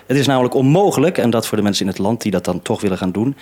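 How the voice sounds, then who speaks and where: A man's voice: fairly low pitch, expressive, rapid-fire, dark tone. A man giving an explanatory account on a broadcast, as a programme maker.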